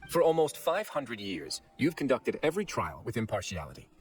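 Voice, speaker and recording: A man voicing a shrewd, composed prison fortress administrator in an anime game, courteous, smooth-talking and deliberate. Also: medium pitch, wildly swooping pitch. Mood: earnest, assured. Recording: faint background music.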